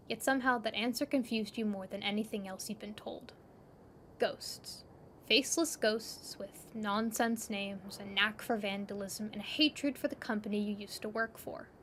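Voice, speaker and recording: A woman's voice: medium pitch, a bit bright, a little hiss or hum. A woman reading scripted second-person narration for an audio drama, over ambient sound.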